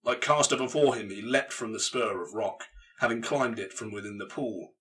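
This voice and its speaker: A man narrates grimdark science fiction with dramatic intensity. His voice is fairly low, with a bright, hard-edged force, and sounds somewhat distant from the microphone. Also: moderate pace. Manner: intense, theatrical delivery. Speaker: audiobook narrator.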